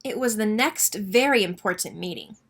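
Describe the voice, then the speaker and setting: A woman reading a children's story aloud as an expressive narrator. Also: medium pitch, normal speed, breathy edge.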